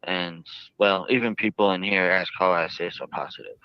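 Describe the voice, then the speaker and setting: Deep-voiced man, halting and muffled. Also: clear, resonant tone, no echo. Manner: halting, drawn-out phrasing. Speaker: jail inmate interviewed by a reporter. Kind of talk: interview answers.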